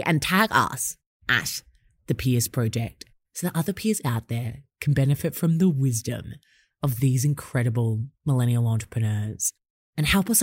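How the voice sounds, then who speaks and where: Young podcast host, upbeat and gushing, mid pitch, super dry close sound. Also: no echo. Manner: upbeat, effusive. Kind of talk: scripted intro then interview questions.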